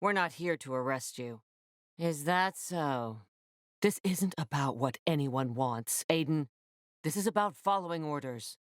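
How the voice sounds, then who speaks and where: A woman's voice: low voice, animated, measured pace, no echo, clean studio sound. A woman playing a tough, commanding, foul-mouthed military officer in a video game, with a clipped, no-nonsense delivery. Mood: cold, threatening.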